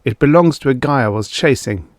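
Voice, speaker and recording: A flustered male detective, acted out by a man reading an audiobook, anxious and protesting. The voice is pushed higher and stammers through its lines. The sound is dry, with little room around it. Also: lively intonation, fairly quick, dry recording, close to an everyday voice. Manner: stammering, sputtering. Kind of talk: audiobook character dialogue.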